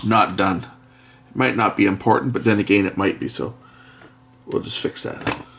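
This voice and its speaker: A middle-aged man with a fairly low voice and plenty of gravelly creak talks casually through hands-on electronics work. He speaks haltingly, thinking aloud as he goes. There is faint noise behind him, with small clicks from the workbench. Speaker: electronics repair presenter. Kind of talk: casual hands-on teardown narration. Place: indoor workbench. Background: faint noise, clicks of handled parts.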